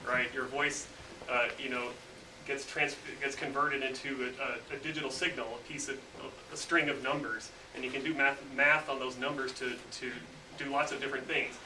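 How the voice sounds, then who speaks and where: A man's voice: medium pitch, moderate pace. A man, an engineer, casually explaining his work to students in a classroom, with many filler words. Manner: relaxed, lots of "you know" fillers. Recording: roomy distant mic with noise.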